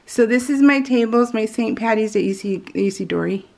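An American woman chatting casually as she narrates a craft project, rambling and giggly, with slightly unusual soft R sounds. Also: on the high side, brisk, gravelly. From California, US. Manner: giggly, rambling, self-interrupting. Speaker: craft vlogger. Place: indoors at home, at a craft table.

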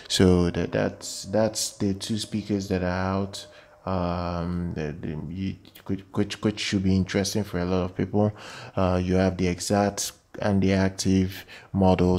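A man giving an informal product news voice-over as a channel presenter, with halting, repetitive phrasing. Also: low-pitched, dark tone.

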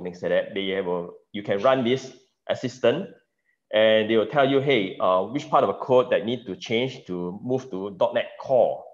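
A deep-voiced man with a Singaporean English accent talks through tech news in a casual, halting way, full of fillers and "right?" tag questions. He is on a video call from a room that sounds roomy, and the audio is dull. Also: darkish tone. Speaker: meetup presenter.

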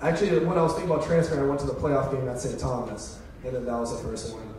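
A man's voice: medium pitch, fairly even intonation, racing, darkish tone. A man in his twenties answering interview questions on stage in an informal, loose, slangy way. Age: 20s. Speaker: college football player being interviewed. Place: indoor stage in an auditorium. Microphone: handheld microphone. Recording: echoey hall PA, distant, noisy.

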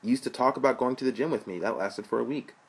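A man's voice: mid-range voice, quick pace, gravelly, husky, voice pushed up. A man voicing an earnest young college student who lectures his older brother. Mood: skeptical.

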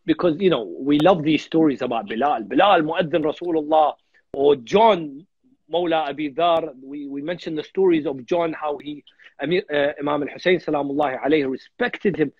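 A man's voice: on the high side, normal speed, slightly rough, dry recording, muffled recording. A man in his thirties from Iraq, a guest speaker in a religious discussion on a video call, earnest and repeating words as he thinks. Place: home room on a video call.

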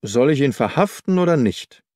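A man acts out a stolid police inspector in a detective audiobook, troubled and deferential. He speaks plainly and earnestly at a medium pitch, with a dry, close sound. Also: animated, normal speed, a little mellow, no room sound, close to an everyday voice. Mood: worried. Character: solid, worried, deferential male police inspector.

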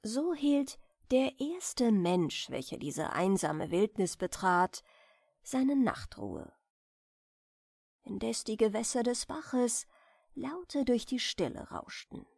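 A woman narrates a bedtime story softly and gently, taking her time with every phrase. Her pitch moves with lively expression and often settles into a creaky edge. The delivery is measured storytelling, meant for falling asleep. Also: no echo, studio quality. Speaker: audiobook reader of bedtime stories.

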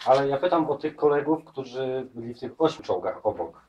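A man interviewing an older veteran, asking short, soft-spoken questions. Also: medium pitch, animated, a little fast. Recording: distant, dull camera audio.

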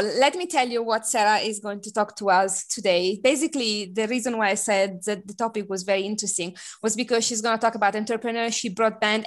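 Woman hosting over headset, chatty. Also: mid-range voice. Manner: chatty, hesitant with many fillers. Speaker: seminar host. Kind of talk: introducing a speaker on a video call. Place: home room by shuttered window.

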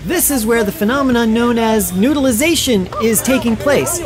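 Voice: medium pitch, moderate pace, close to an everyday voice. An actor playing an earnest live TV news reporter in a cartoon video game. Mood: earnest reporting. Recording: music behind report.

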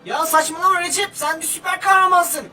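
A performer voicing a squeaky, fretful, questioning little sidekick in a cartoon parody. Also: fairly even intonation, a little fast. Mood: worried, baffled. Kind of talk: cartoon parody character dub. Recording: distant voice under music.

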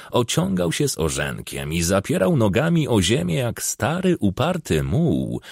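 Male audiobook narrator, gravelly and dry-sounding, hugely varied pitch, expressive storytelling. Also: dry recording.

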